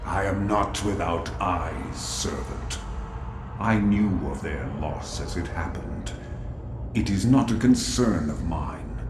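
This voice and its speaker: A slow, echoing dark god from a video game cutscene. He is cold and imperious, addressing mortals as lowly servants in a deep voice, with music behind him. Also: lively intonation. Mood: cold, haughty. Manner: slow, haughty, grandiose pronouncements.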